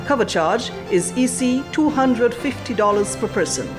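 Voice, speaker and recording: A woman's voice: on the high side, lively intonation, normal speed. A woman reading a scripted promotional announcement for an event. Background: clear background music.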